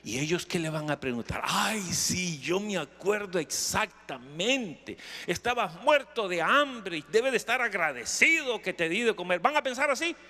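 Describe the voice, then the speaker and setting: A man's voice: high-pitched, lively intonation, normal speed, pushed and bright. A middle-aged or older man with a Costa Rican accent preaching emphatically, putting questions to his congregation and repeating phrases. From Costa Rica. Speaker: pastor preaching. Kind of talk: sermon with anecdotes and questions to the congregation. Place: camp meeting hall with congregation. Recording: reverberant hall, long echo.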